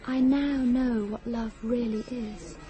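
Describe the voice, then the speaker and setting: High-voiced, possessive young woman. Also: steady tone, slow pace, mellow, soft background music. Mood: intense, confiding. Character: possessive, secretive young woman, intense and eerily devoted lover. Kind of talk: radio drama acting.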